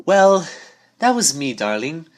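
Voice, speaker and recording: A man's voice: on the high side, big pitch swings, slow pace, pitched above the performer's own voice. A man voicing a refined, dramatic female unicorn with an affected, genteel manner in a cartoon fan story. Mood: sheepish.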